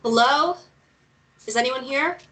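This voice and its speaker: A woman's voice: high-pitched, a little slow, bright, forceful tone. A woman playing a stubborn, irreverent young woman in a screenplay read, talking in a hesitant, conversational way. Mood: insistent. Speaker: actor in a screenplay table read.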